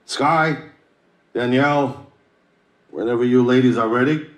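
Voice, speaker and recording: An actor playing a proud, polished executive addressing his staff in a formal, measured speech for a video game. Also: mid-range voice, background noise, lightly acted. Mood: proud, congratulatory. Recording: echoey large room.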